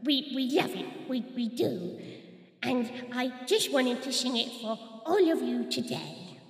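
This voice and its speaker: A woman's voice: sits high, expressive, a little slow. A woman playing a tiny, shy little mollusc in an audio drama, hesitant and apologetic. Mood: nervous, shy. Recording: music behind the voice.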